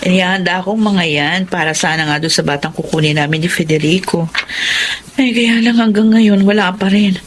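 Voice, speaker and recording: An actress playing a gentle, childless wife who longs to adopt a child, speaking softly and earnestly in a radio drama. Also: female voice, lowish voice, moderate pace, a little hiss or hum, lightly acted. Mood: wistful.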